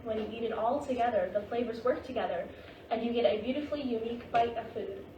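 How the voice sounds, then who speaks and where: A wise, tender grandmother spirit, read by a woman in a staged drama, guides patiently and reassuringly. The voice sits fairly high and reaches us from a distance through a very echoey room with clear background noise. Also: mellow, dull audio, lightly acted. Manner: patient, reassuring, unhurried guiding. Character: gentle, wise ghost of a Japanese grandmother. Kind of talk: staged play reading.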